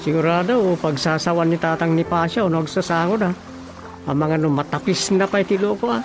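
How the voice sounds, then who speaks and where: Concerned family man, male actor: conversational radio drama delivery, creaky voice, slightly high. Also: brisk, over music, lightly acted. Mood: concerned, confiding.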